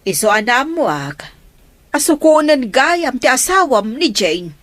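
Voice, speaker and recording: An actress playing an exasperated mother in an Ilocano radio drama, reproachful and expressive. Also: high voice, measured pace, no echo, exaggerated voice. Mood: exasperated, scolding. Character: exasperated, scolding mother of grown daughters.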